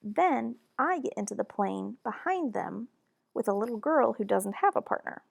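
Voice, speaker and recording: A woman reading a children's storybook aloud in an expressive, deliberate and animated narration. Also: fairly high pitch, creaky, husky, dry recording. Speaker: children's book reader.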